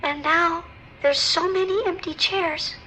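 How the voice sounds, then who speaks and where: An actress playing a frightened stranded passenger calling for help in an audio drama, shaky, hesitant and alarmed. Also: female voice, very high-pitched, steady tone, measured pace, sharp tone, heavily acted. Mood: shaken. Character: frightened, stranded female cruise ship passenger calling emergency dispatch. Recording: noisy emergency call with ambience.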